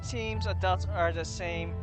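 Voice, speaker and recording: A woman's voice: on the low side, steady tone, a little slow. A woman giving casual, wry gameplay commentary with reactive asides. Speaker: game streamer. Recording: loud game music under the voice. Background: video game music.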